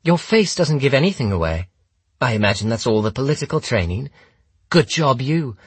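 Man voicing a sardonic young woman, raised pitch. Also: big pitch swings, normal speed, slightly rough, no echo. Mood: steely sarcasm. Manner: level, sardonic, taunting. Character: cool, defiant, razor-tongued young woman. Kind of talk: audiobook character dialogue.

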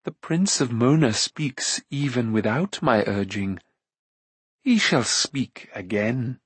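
A haughty sorceress, acted out by a male narrator in an audiobook, cold and cunning. The speech is formal and commanding, with slow, drawn-out threats. The recording is close and dry. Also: medium pitch, expressive, breathy edge, no echo. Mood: cold, menacing. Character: imperious, cunning, cruel female enchantress, coldly threatening. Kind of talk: audiobook character acting.

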